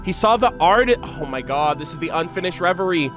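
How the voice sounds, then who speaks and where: A man giving excitable, slangy reaction commentary as a gaming creator, mixing in read-aloud game lore. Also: fairly high pitch, fairly quick, over music. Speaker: gaming content creator, host.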